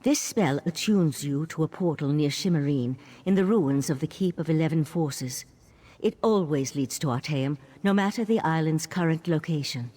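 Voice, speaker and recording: Man playing a calm mage, husky voice, measured earnest delivery. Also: on the high side, natural voice. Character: calm male mage of a secretive magic order. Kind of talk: video game voice acting.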